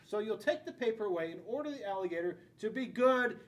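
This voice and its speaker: A man's voice: lively intonation, fast. An American man in his forties reads a children's picture book aloud dramatically, putting questions to the listening children. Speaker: school resource police officer. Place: school classroom, indoors. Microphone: camera a few feet away. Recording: noticeable room noise with low rumble.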